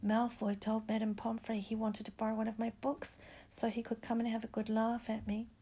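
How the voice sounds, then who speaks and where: A woman reading a story as an anxious, unwell schoolboy with a hoarse, breathy voice. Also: mid-range voice, little pitch movement, a little fast, mellow, natural voice. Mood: miserable. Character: anxious, sickly schoolboy, easily panicked.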